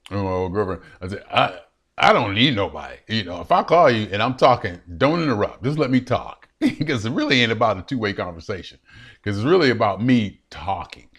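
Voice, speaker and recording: A man's voice: lowish voice, slightly rough. Chatty fifty-something man rambling to webcam. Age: 50s. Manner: chatty and animated, laughing often, repeating phrases. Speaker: vlogger. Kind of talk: rambling solo vlog to a webcam. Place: his room at home.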